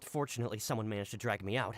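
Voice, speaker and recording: A man's voice: fairly low pitch, racing, music in the background, natural voice. A man voicing an anxious, deferential investigator in a video game, earnest and flustered. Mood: apologetic.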